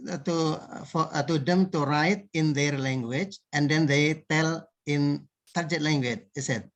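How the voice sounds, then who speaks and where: A man's voice: fairly high pitch, measured pace. Polite man asking a hesitant question. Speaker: English teacher asking a question. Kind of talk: online panel discussion.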